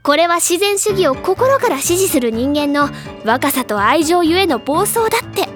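High-pitched, theatrical schoolgirl. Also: big pitch swings, moderate pace, soft background music. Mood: smug, lecturing. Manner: dramatic, declamatory, lecturing. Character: excitable, theatrical high-school literature-club girl, self-assured upperclassman. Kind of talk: anime-style audio drama acting.

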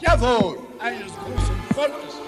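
Man as a very slow, high-pitched orator. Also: lively intonation, exaggerated voice. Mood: proud, solemn. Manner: oratorical, emphatic, drawn-out phrases. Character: solemn statesman proclaiming to a nation's youth. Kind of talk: declamatory public speech. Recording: loud music over the speech.

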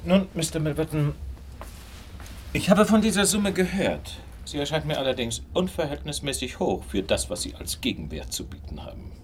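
An actor playing a shrewd, commanding gentleman detective in a radio drama, dry and formally polite. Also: a little high, lively intonation, normal speed, slight background noise, close to an everyday voice. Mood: measured, reserved.

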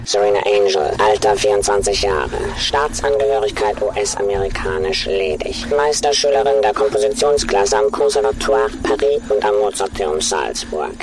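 An actor voicing a calm male artificial assistant in a radio play, reporting data in a precise, clinical way. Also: lowish voice, moderate pace, hardly any pauses, close to an everyday voice. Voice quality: precise, clinical, matter-of-fact. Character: calm male AI coach monitoring a runner. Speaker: digital assistant.

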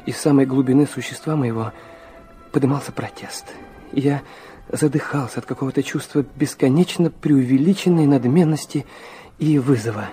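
A man performs an intense young man weak from illness in a literary audio drama. His voice is medium in pitch with a dark, mellow tone. He is brooding and earnest, and his speech halts as he goes. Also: moderate pace, lightly acted. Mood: brooding narration. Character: intense, proud, feverish young man, narrating his story.

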